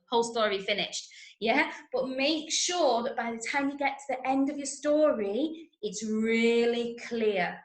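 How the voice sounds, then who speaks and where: Echoey, higher-pitched English woman teaching slowly. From Manchester, England. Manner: emphatic, checks understanding with frequent tag questions. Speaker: drama workshop teacher. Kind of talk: interactive online lesson for children. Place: indoor room, online video call. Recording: echoey room on a video call.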